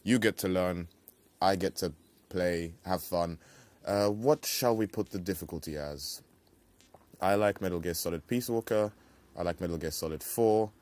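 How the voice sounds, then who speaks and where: A young man with a low voice hosts a gaming playthrough. He rambles casually, throwing in playful slang and mild swearing. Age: young adult. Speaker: let's play host. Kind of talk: casual video game commentary.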